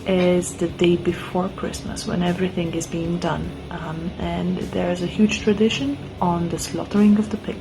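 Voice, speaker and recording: A woman from Romania talking to camera in a lively way, with a few ums and restarts. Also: fairly low pitch, normal speed, vocal fry, few pauses, music in the background, low-quality recording. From Iași, Romania. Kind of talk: casual self-introduction to camera.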